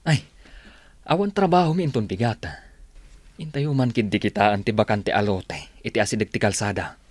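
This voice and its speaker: Man acting a low-voiced, practical village husband. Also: normal speed, dry recording, lightly acted. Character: practical village husband and father, plain worker. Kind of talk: Ilocano radio drama acting.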